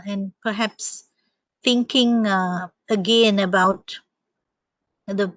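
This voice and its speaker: A woman's voice: mid-range voice, fairly bright tone, dry recording, rough recording. A woman presenter on a video call panel, answering thoughtfully with frequent hesitations and ums.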